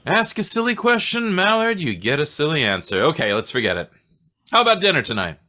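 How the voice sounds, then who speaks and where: A man playing a friendly, somewhat awkward homicide inspector in old-time radio style, with a hesitant, halting manner. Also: on the high side, sing-song, bright, forceful tone. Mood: probing. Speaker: actor in an old-time radio detective play. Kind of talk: 1940s radio acting.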